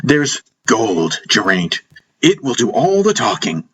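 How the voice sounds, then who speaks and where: A man's voice: on the low side, huge pitch swings, fairly bright tone, breathy edge, heavily acted. A man voicing an insistent, commanding stranded army major bargaining urgently in an audiobook. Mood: insistent, persuasive. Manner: insistent, emphatic, halting when pleading. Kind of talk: dramatic audiobook dialogue. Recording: music behind the voice.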